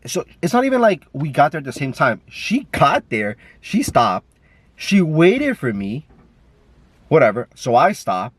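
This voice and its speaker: A man's voice: fairly high pitch, husky, no room sound. A man with a stuffy nose, talking in a casual, rambling way with lots of fillers and swearing, filmed on a camera while driving. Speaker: vlogger. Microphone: camera mic.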